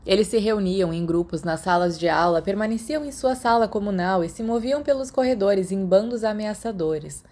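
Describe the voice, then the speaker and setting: A woman's voice: mid-range voice, normal speed, few pauses, a little mellow. A woman with a Brazilian accent reading a scripted story as an audiobook narrator.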